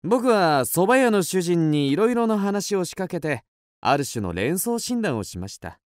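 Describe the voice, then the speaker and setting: An actor playing a cool, self-assured young detective in a radio drama, explaining things deliberately and theatrically. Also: male voice, high voice, very animated, no room sound, clean studio sound. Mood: intense, revealing. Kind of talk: Japanese radio drama acting.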